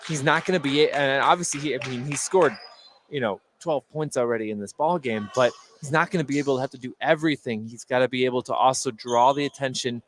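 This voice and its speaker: A man giving conversational live color commentary on a basketball game in a halting, hesitant way that repeats words. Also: medium pitch, a bit bright, a little hiss or hum. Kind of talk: conversational live sports analysis. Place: indoor gymnasium during a game.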